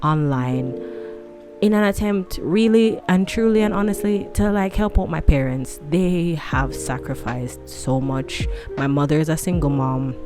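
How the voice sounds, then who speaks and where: A woman's voice: deep voice, measured pace, over music. A woman in her late twenties chatting casually to camera at home, rambling and self-deprecating, a fan running nearby. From tropical country. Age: 20s. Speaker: vlogger.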